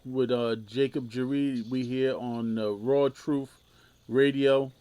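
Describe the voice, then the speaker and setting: A man's voice: mid-range voice, steady tone, slow, dark tone, clear, resonant tone. A man hosts a radio interview in a middling voice. He keeps his responses short and relays audience questions to the guest. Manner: brief affirmations, reads audience questions. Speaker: radio show host. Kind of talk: interview hosting.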